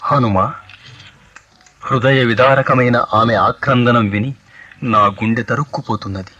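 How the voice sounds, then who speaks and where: Forceful, husky noble god-king. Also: mid-range voice, moderate pace, highs cut off. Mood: sorrowful compassion. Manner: stately, earnest, theatrical. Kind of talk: 1980s radio mythological play, formal declamation.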